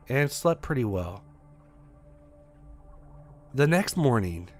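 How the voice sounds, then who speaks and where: Animated man telling a scary story. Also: mid-range voice. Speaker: narrator. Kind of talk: scripted first-person horror story narration.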